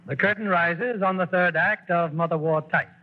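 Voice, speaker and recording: A man's voice: high voice, steady tone, normal speed. A man hosting a radio drama and chatting with a guest in a polished, scripted presenter manner. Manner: polished, formal presenter delivery. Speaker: radio drama host and producer. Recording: dull 1940s radio broadcast.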